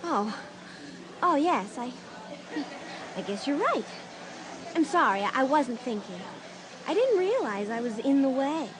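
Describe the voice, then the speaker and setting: Wounded, high-voiced teenage girl. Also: big pitch swings, measured pace, pauses often, noisy background. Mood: hurt. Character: hurt, indignant, easily wounded teenage girl. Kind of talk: dramatised audiobook acting.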